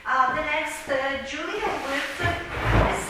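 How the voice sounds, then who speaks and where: A woman's voice: sits high, takes it slowly. A woman teaching an English class, giving instructions with frequent fillers. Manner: gives instructions, hesitant with fillers. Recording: echoey classroom from far off, noisy.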